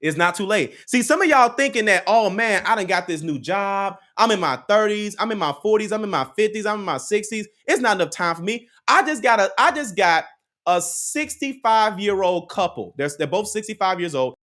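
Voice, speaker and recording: A man hosting a livestream speaks with a very animated voice that swings high and low. He drives each point home by repeating it, talks straight to the chat and laughs now and then. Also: brisk. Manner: preachy, repeats key lines, laughs often, talks to the chat. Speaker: credit advice livestream host. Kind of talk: live motivational chat with viewers.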